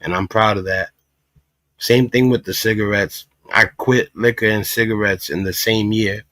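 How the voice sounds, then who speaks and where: A man's voice: fairly low pitch, fairly even intonation, normal speed, sharp tone, no room sound. Emphatic male livestream host, dry sound. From Puerto Rico. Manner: emphatic, talks in repeated asides. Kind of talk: casual reaction commentary.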